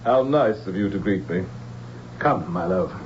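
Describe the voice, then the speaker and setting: A man's voice: on the low side, lively intonation, a little slow. A man playing a jealous, ruthless military general, threatening and theatrical in old radio drama style. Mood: smug, menacing. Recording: dull, faintly hissy old radio recording.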